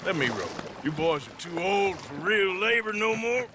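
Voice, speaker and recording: An actor playing a rugged, teasing younger outlaw with an American accent in a video game. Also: male voice, high voice, animated, normal speed, noisy background. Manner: dry, teasing, folksy. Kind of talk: video game cutscene acting.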